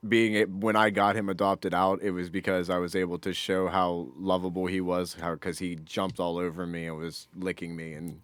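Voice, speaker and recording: Guy w really deep voice just chatting on a podcast, super dry sound, kinda sweary. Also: flat delivery, moderate pace, few pauses, a bit bright, clean tone, dry recording. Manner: informal, swears casually. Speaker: podcast co-host. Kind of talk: casual chat.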